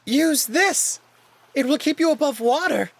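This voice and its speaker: A man voicing a timid, anxious young male furry alien servant in a visual novel, flustered and pleading, with a breathy husky voice. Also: very high-pitched, expressive, a little slow, no echo, voice pushed up, heavily acted. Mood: panicked. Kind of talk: visual novel character voice acting.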